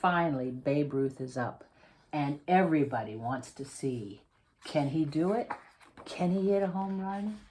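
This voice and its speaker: A woman reading a children's picture book aloud as its author, with theatrical, emphatic delivery and drawn-out, suspenseful phrasing. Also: sits low, animated, a little mellow. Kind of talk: dramatic read-aloud storytelling for children.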